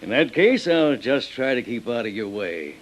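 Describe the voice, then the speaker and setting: An American actor playing a sly, folksy small-town sheriff with a needling manner in old radio drama. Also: male voice, medium pitch, big pitch swings, moderate pace, close to an everyday voice. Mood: cold. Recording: noisy, dull old radio recording, clicks.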